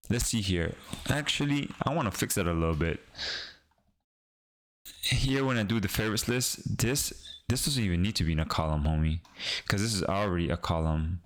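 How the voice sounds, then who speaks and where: Caribbean guy, creole his first language, lowish voice, rambles and pauses a lot while coding live. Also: fairly bright tone. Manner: rambling, pauses and restarts, talks to chat. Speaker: streaming developer. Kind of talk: casual live-stream coding chat.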